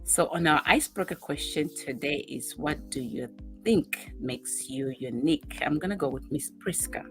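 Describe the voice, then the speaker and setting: Young woman host, slightly low voice, chatty and upbeat. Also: normal speed, faint music behind. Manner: upbeat, chatty, addresses viewers directly. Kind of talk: talk show hosting.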